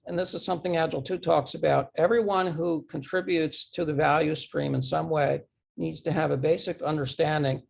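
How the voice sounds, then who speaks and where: Man presenting, hoarse and losing his voice, very gravelly, husky, halting speech. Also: a little high, darkish tone. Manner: halting, repeats words, rhetorical true-or-false questions. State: losing his voice, clearing throat. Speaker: conference speaker. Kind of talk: talk presentation with audience questions.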